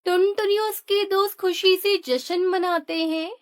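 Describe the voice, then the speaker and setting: Female narrator for children's story, very high-pitched, unhurried scripted read. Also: fairly even intonation.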